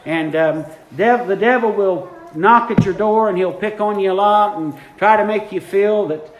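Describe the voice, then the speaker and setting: Fervent preacher, high-pitched man, drawn-out lines, roomy sanctuary. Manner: fervent, repeats lines for emphasis, drawn-out phrases. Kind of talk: impromptu sermon. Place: church sanctuary. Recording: echoey church room.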